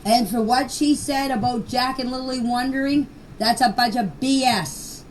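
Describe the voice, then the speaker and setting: A woman's voice: a little high, unhurried. An older woman in her sixties or beyond speaking plainly and bluntly in an unscripted personal voice message, with an emphatic manner. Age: 60s or older. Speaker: grandmother giving her personal account. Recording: distant, noisy amateur voice clip.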